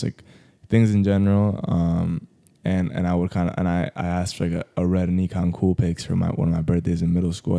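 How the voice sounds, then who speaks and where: A man's voice: low-pitched, gravelly, dark tone, smooth. A young man from Colombia who grew up in South Florida, talking casually as a guest photographer with lots of "like" fillers and slang. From Colombia, raised in South Florida. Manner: laid-back, heavy use of "like" fillers, slangy. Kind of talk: casual podcast interview. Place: podcast studio.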